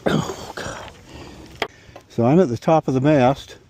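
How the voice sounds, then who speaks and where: A man's voice: medium pitch, slow, noisy background. A man talking casually and informally as he demonstrates work on his boat, rambling a little to himself. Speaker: sailboat owner demonstrating repairs. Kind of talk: casual vlog talk.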